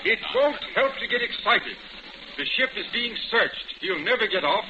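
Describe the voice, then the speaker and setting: A ship's first officer in an old radio play. He pitches his voice high, formal and placating. The recording is muffled, with clear noise behind it. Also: animated, moderate pace. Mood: earnest, placating. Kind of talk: 1940s radio acting.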